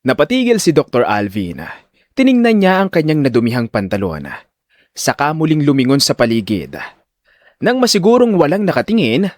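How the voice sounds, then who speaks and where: Animated male audio drama narrator. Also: fairly high pitch, dry recording, clean studio sound. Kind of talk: dramatic scripted story narration.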